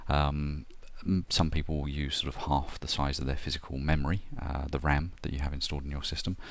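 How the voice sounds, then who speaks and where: A middle-aged man talking through a software install, step by step, with frequent ums and uhs. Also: a bass voice, a little fast. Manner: steady step-by-step explaining with frequent "uh" and "um". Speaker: software tutorial presenter. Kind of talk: screen-recorded tutorial narration.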